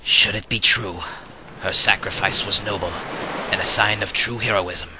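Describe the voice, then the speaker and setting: A man playing a formal, solemn, honourable warrior with measured diction in a fan audio drama. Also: lowish voice, steady tone, close to an everyday voice. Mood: solemn, respectful. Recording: clear background noise.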